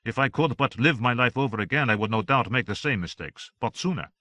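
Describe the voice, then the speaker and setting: A man's voice: medium pitch, expressive, fairly quick, sharp tone, no echo. A man voicing Voltaire as a tiny, dry-witted old philosopher in a sci-fi audiobook, wry and judicious.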